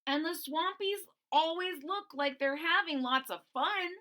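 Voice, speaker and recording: A woman's voice: very high voice, unhurried, pushed and bright, pitched above the performer's own voice, full character voice. A woman voicing a worried, wavering young dinosaur in a storybook, tentative and pleading.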